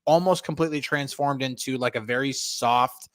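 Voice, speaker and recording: Man from northern New Jersey, mid-pitched, dry close sound, rambling casual podcast co-host. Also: moderate pace, fairly bright tone, no room sound. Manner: rambling, lots of "like" fillers. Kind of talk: casual sports podcast chat.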